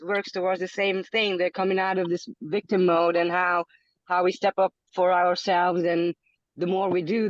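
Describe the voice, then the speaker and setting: A woman's voice: on the low side, no room sound. Female guest; searching, repetitive phrasing; dull, dry call audio. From Hungary. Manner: searching, repeats words when thinking. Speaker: podcast guest. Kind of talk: casual interview talk.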